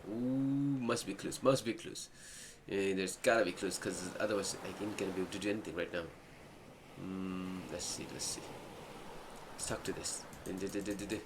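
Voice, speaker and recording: A man giving casual playthrough commentary, thinking aloud and talking back to the game as he goes. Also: sits low. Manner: reactive, muttering to himself and answering the game. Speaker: gaming video host. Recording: clearly noisy background.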